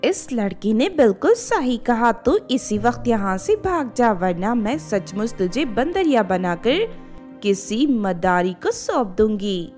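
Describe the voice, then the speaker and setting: A woman's voice: fairly high pitch, sing-song, slow, darkish tone, faint music behind. A woman voicing a bold, stern good fairy with a commanding way of talking in a children's tale. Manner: commanding, scolding.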